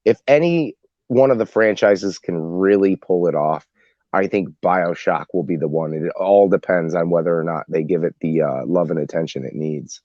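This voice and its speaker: A man with a low, mellow voice talks casually as a podcast panelist, thinking out loud in a rambling way. The recording is dry and slightly muffled. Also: moderate pace, no echo. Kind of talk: casual group discussion.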